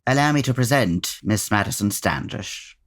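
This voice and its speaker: British male detective, very low register, proud, clipped, formal, animated radio comedy acting. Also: normal speed, no echo, clean studio sound, full character voice. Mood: dry, self-assured. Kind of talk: comic old-time radio drama acting.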